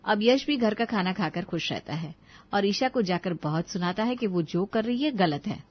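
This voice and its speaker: A woman's voice: medium pitch, lively intonation, moderate pace, dry recording, muffled recording. A woman narrator reading a scripted family story.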